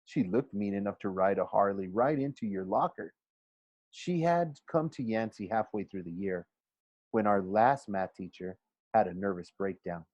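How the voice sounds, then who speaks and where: A man's voice: fairly low pitch, normal speed, a little mellow, dry recording, highs cut off. A man reading a storybook aloud expressively like a teacher, now and then stumbling and correcting himself.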